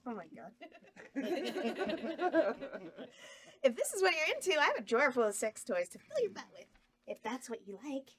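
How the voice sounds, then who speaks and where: A woman playing a campy high-pitched horror hostess. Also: huge pitch swings, normal speed, long pauses, fairly bright tone, exaggerated voice. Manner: campy, playful, sultry. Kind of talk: table read of a comedy horror script.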